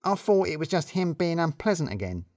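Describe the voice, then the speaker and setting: A man plays a wary, grumbling male office colleague in an audio story. His delivery is plaintive and pitched up, with very dry sound. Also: big pitch swings, fairly quick, a little mellow, no echo. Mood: sheepish.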